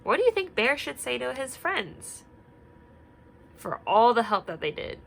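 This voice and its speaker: A young woman teacher reading a picture book aloud and asking the children gentle questions, sometimes dropping to a whisper. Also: on the high side, very animated, measured pace, gravelly, a little hiss or hum. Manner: drops to a whisper at quiet parts.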